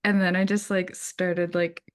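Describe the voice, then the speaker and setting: A woman's voice: on the low side, level tone, a little slow. A young woman from Wisconsin, an illustrator guest talking casually, with many "likes" and ums, acting out little quotes. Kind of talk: casual interview chat.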